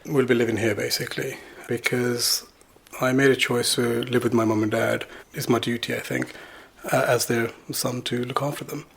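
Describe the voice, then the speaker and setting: A middle-aged man from Bangladesh chats easily in an interview, his voice gravelly with a husky edge. He talks in a relaxed way and laughs often. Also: mid-range voice, moderate pace. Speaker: interviewee, planetary science student. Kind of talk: casual interview.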